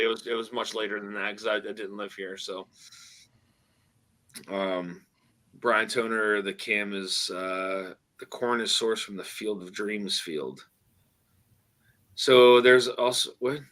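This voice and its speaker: A man's voice: on the low side, fairly even intonation, measured pace, pauses often, sharp tone. A man hosting a casual livestream, chatting in a rambling, hesitant way with lots of fillers and reading out viewer messages. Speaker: livestream host.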